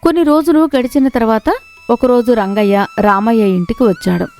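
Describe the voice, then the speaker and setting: A woman's voice: on the high side, moderate pace, a little mellow, faint music behind. A woman reading a scripted moral story as its narrator.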